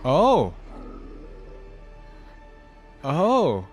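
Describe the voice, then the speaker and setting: A man's voice: on the high side, huge pitch swings, extremely slow, soft background music. A man hosting a reaction video, giving casual, enthusiastic commentary with rambling asides.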